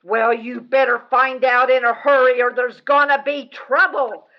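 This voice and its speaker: A woman's voice: sits high, level tone, unhurried, muffled recording. A woman acting an indignant, nagging wife in a radio comedy. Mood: indignant, tearful.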